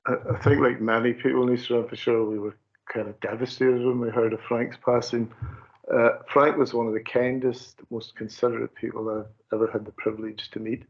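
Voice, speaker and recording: A man's voice: medium pitch, level tone, brisk. A man, a councillor, giving an earnest tribute at a committee meeting, repeating words as he goes. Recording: dull lo-fi meeting audio.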